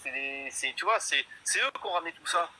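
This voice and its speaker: A man's voice: a little high, animated, a little fast, sharp tone, slight background noise, rough recording. A man in his fifties in a casual live chat, boastful and rambling, full of hesitations. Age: 50s. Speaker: guest in a live stream chat.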